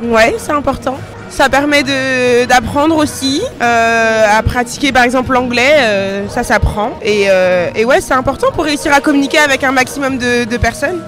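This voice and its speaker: A woman's voice: sits high, moderate pace, music in the background. A woman giving informal, hesitant answers in a casual street interview. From Martinican family background. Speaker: passer-by interviewed. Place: public covered market hall.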